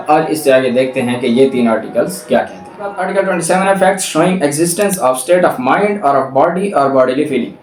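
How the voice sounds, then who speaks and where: Male law lecturer, Pakistani accent, explanatory teaching delivery, recorded in a roomy, echoing space a little away from the mic. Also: a little high. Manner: reads legal text slowly and deliberately, explains briskly. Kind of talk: explanatory lecture, reading statutes then explaining. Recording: roomy echo, distant microphone.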